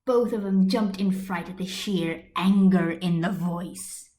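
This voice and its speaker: Woman, amateur story narrator, slow pace, scripted reading, roomy computer mic. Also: lowish voice, dark tone. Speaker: amateur podfic reader. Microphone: computer's built-in microphone. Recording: roomy computer microphone recording.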